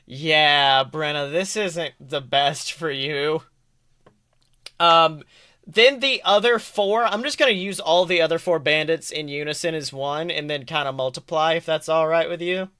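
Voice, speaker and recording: A man's voice: a little high, unhurried, pushed and bright, smooth. A man casually running a tabletop game as game master, teasing and joking as he narrates. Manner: teasing, jokey. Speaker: game master, podcast host.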